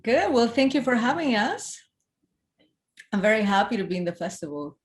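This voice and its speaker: A film director who grew up in Ethiopia, speaking in a recorded festival Q&A, thoughtful and hesitant with many fillers and restarts. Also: female voice, on the high side, sing-song, moderate pace.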